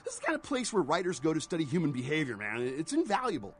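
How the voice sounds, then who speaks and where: An actor playing a jaded, rambling motel desk clerk in a video game, slangy and world-weary. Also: male voice, fairly high pitch, wildly swooping pitch, quick pace, a little hiss or hum. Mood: chatty.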